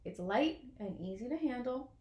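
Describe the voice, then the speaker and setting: A woman reading a children's picture book aloud in an expressive way, as if to young listeners. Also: on the high side, normal speed, reverberant, lo-fi sound. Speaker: storybook reader.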